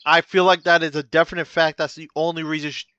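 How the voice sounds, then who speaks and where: A man's voice: a little high, little pitch movement, fast, pushed and bright, clear, resonant tone. A man reacting to a video in casual commentary, blunt and crude, repeating his phrases as he talks. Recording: slightly dull dry recording.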